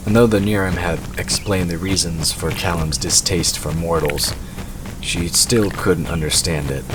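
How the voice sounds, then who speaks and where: A man reading scripted fantasy narration for an audio drama. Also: low voice. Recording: clearly noisy background ambience.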